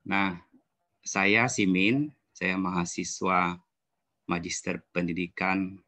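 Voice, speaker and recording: A man in his fifties giving a slide presentation over a video call, halting and repeating phrases. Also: low voice, steady tone, sharp tone, dry recording. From West Kalimantan, Indonesia. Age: 50s. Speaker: student presenter. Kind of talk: slide presentation at an online seminar.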